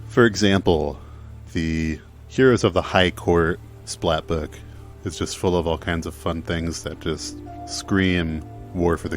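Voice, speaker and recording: Male podcast panelist, deep low voice, casual chat, music behind.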